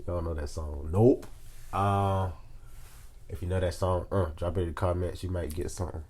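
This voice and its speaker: A man's voice: deep voice, steady tone, moderate pace, a little mellow. A young man with a Southern US accent, sick with a sore throat, chatting casually and rambling as a podcast co-host. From South Carolina, United States. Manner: rambling, joking, colloquial. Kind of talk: casual chat.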